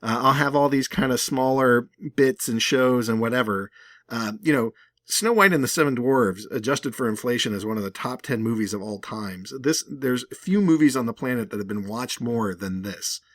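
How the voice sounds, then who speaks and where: A man's voice: medium pitch, normal speed, fairly bright tone, no echo, clean studio sound. A man hosting a video with casual, rambling and emphatic conversational commentary. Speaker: video host.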